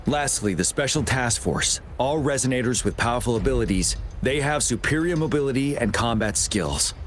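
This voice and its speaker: A man's voice: medium pitch, lively intonation, natural voice. Commanding, composed military general played by a man. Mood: commanding, resolute. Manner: measured, commanding. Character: composed, resolute male general briefing his troops. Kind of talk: video game cutscene acting. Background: background music.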